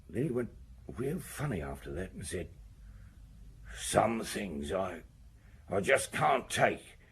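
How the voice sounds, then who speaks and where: An actor playing a shifty small-time crook and ex-sailor in a radio crime drama, talking in a rambling, colloquial way. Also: male voice, medium pitch, full character voice. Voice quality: husky, gravelly. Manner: rambling, hesitant, colloquial storytelling.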